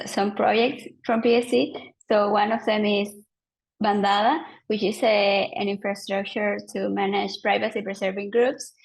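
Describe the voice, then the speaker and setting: A woman informally introducing a team project in a halting, hesitant way. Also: medium pitch, creaky, poor audio. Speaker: project team member presenting.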